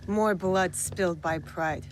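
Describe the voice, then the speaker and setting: A woman's voice: lowish voice, slow pace, rough recording. An actress playing a serious, determined young female assassin in a video game, speaking in a terse, direct way. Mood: resolute. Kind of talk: video game cutscene acting. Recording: music under the voice.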